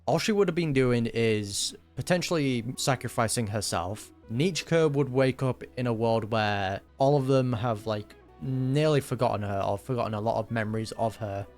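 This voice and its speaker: A man's voice: medium pitch, moderate pace, few pauses, darkish tone, soft background music. A young man giving casual, emphatic, slangy commentary as he reacts to a video game. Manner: slangy, emphatic, uses dude and bro.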